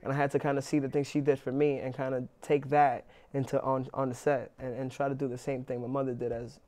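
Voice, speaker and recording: Young New man, flat halting talk. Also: mid-range voice. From New York, Inwood. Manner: relaxed, halting with many restarts and fillers. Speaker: actor, interviewee. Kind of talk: casual interview.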